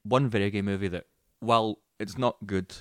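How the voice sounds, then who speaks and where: Male podcast co-host, slightly low voice, wry and teasing in loose banter, dry studio-style sound. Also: steady tone, fairly quick, no echo. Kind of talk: casual chat, film and games banter.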